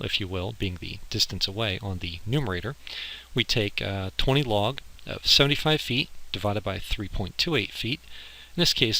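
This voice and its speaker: A man with a lowish, very bright and tense-sounding voice delivers a slide lecture. He explains things informally, one step at a time, as an instructor would to students. The amateur recording has a harsh edge. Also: vocal fry, poor audio. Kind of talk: slide lecture on audio engineering.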